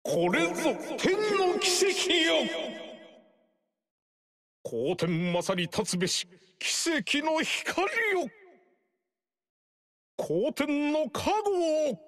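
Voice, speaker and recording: A man's voice: very high-pitched, a bit bright, full character voice. An actor playing a fanatical older male sorcerer who bellows heaven-invoking battle cries in a game. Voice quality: bellowing, sermon-like proclamations. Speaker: game character.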